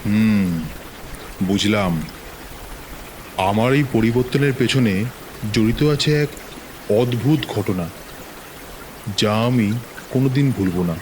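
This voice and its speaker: A man's voice: on the low side, noisy background. A man playing a self-assured middle-aged village tantric, telling a horror tale dramatically in a West Bengal accent. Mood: grave, reminiscing. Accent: Bengali from West Bengal, India. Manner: boastful, dramatic, unhurried storytelling. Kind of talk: dramatized horror story narration.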